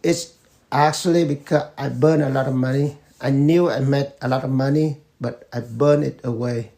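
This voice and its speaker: A man, answering family interview questions in slow, measured phrases with pauses. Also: medium pitch, fairly even intonation, gravelly, mellow. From Vietnam. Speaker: interviewee, father.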